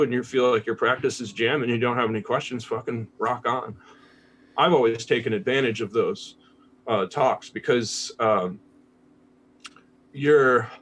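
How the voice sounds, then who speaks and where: An American man talking casually as a podcast guest, candid and laid-back, swearing freely. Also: medium pitch. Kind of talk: casual conversational interview.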